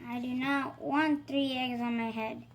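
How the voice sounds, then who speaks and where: A woman's voice: high voice, steady tone, a little slow, slight background noise. A woman voicing an anxious, exasperated elephant from a children's picture book, questioning everything in disbelief. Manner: questioning, incredulous.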